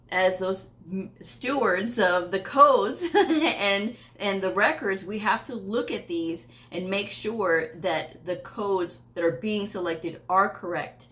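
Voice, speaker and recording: A woman's voice: mid-range voice, fairly bright tone. A woman in her thirties, a medical coding educator, giving firm, emphatic career advice straight to camera from a small home office. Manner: firm, emphatic, repeats points with occasional laughs.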